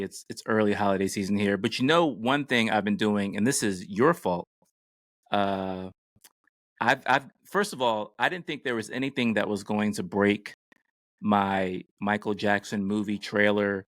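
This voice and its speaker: Low-voiced American man, dry close sound. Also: unhurried, no room sound. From United States. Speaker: podcast host. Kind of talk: casual podcast chat.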